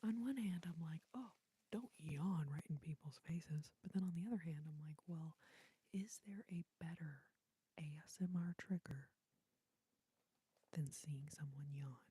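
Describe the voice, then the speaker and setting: Low, dark whispering woman. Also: unhurried, breathy edge, dry recording. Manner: rambling, playful, chatty asides to viewers; soft unvoiced whisper. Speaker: ASMR livestream host. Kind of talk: whispered ASMR livestream chat.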